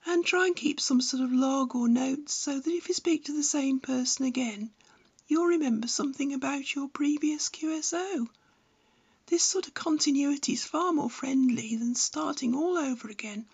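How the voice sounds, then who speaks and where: A woman's voice: sits high, gravelly, a little mellow. A woman reading scripted instructional narration in a blunt, didactic manner. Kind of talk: scripted narration, tutorial with opinionated advice.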